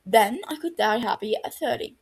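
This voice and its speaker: A woman's voice: on the high side, lively intonation, normal speed, husky. A woman voicing a cynical, sardonic, exasperated British man in his twenties in an audio story. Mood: deadpan, cynical. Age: 20s. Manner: sardonic, sighing, withering. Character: dry, exasperated, cynical young British man, reserved realist. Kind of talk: fan fiction audio story dialogue.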